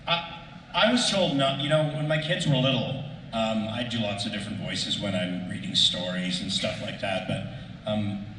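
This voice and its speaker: A middle-aged man speaking casually on a panel, searching for his words in a halting way. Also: fairly low pitch, bright, forceful tone. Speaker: voice actor panelist. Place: large convention panel room. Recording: echoey hall, distant mic, faint noise.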